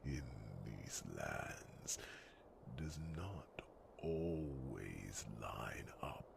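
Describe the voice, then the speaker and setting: Super slow croaky whispery old dragon type, solemn n brooding, asmr fantasy roleplay, hums while thinking. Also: male voice, very animated, background noise, full character voice. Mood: measured concern. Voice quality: very deep, gravelly, breathy whisper. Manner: slow, pondering, formal, with musing hums.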